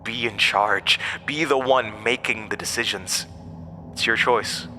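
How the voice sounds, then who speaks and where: An actor playing an ancient monster, a persuasive, scornful tempter who speaks with theatrical, deliberate emphasis in a horror audio drama. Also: male voice, on the low side, steady tone, background noise, natural voice. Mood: coaxing, insistent.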